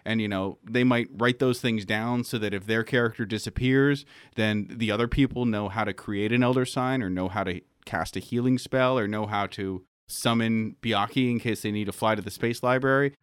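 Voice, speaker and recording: A man's voice: fairly low pitch, steady tone, moderate pace, hardly any pauses, clean tone, no echo, clean studio sound. A man chatting casually on a panel as a game master, explaining things in an informal way. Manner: explanatory, peppers talk with "like". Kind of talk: casual panel chat about tabletop gaming.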